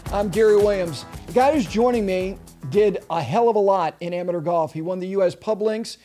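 A man hosting an interview show, introducing his guest with emphasis and asking questions with halting restarts. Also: sits high, warm tone, soft background music. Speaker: podcast host.

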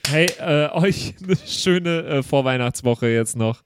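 A man's voice: fairly high pitch, slow pace, background noise. A man hosting a podcast in casual, joking banter and informal thank-yous to listeners. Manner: jokey, informal. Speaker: podcast host and player.

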